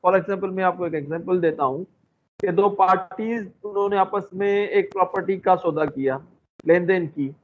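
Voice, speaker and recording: Male law lecturer, Pakistani accent, high-pitched voice, informal and halting as he explains, heard over thin phone-quality audio. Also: a little hiss or hum. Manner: halting, explains through everyday examples. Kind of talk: informal explanatory lecture mixing in English terms. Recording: muffled, lo-fi phone-like sound.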